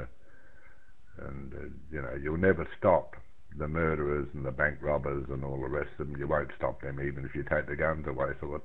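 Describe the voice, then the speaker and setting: A man chatting casually over the radio in a blunt, folksy, colloquial way, rambling along. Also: very deep voice. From outside the United States. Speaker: amateur radio operator chatting with friends. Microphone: radio microphone over internet link. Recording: narrow, tinny phone-like radio link.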